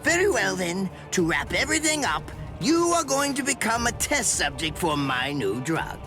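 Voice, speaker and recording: A man's voice: high voice, sing-song, normal speed, music in the background. An actor voicing a smug, sadistic mad scientist in an anime game, condescending and theatrical in his drawl. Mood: smug fascination. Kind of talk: anime video game dub acting.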